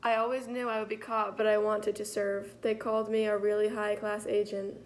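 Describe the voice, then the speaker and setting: A woman playing a poised, daring female French spy chief in a school role-play interview, recounting calmly and matter-of-factly. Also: medium pitch, fairly quick, a little hiss or hum, natural voice. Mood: composed.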